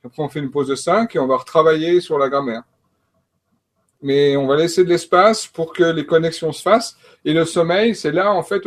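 A man who works as a coach and trainer, explaining learning methods in a panel talk, with frequent fillers and restarts. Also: a little high. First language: French. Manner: hesitant, many fillers and restarts.

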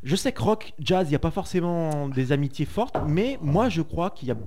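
A man's voice: on the high side, animated, normal speed, warm tone. A man, a journalist co-hosting a radio chat, earnestly explaining his ideas. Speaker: journalist co-host. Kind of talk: casual chat.

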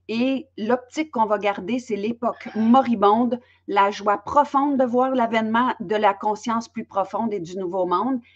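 Hesitant Belgian-born woman host, muffled audio. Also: mid-range voice. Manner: hesitant, many fillers and restarts. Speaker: host and interviewer. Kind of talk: introducing an online interview. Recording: slightly dull lo-fi audio.

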